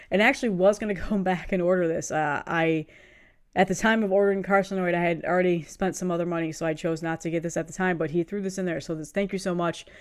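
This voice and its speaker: Sniffly, excited woman chatting casually. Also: lowish voice. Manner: enthusiastic, rambling, laughs often. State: has a cold, sniffly. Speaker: music reviewer. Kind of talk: casual video review chat.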